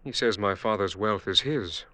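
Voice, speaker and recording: An actor playing a hot-tempered, easily swayed husband in a classic radio drama, speaking in terse, emotional phrases. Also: male voice, lowish voice, normal speed, pushed and bright, no room sound. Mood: low, yearning.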